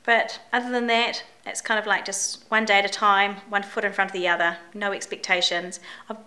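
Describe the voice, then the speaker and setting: A middle-aged New Zealand woman with a bright, forward voice and a slight croak. She talks openly and chattily, with fillers and restarts, in a personal video diary. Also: medium pitch, level tone, normal speed. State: croaky voice from a chest infection. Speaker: vlogger.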